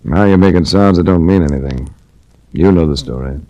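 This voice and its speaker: A man's voice: low voice, a little fast, dark tone, no echo, lo-fi sound, lightly acted. An actor playing a dry, sardonic male insurance investigator who narrates a 1940s radio drama. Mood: wry. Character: wry hard-boiled male insurance investigator. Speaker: detective narrator. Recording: restored old radio broadcast.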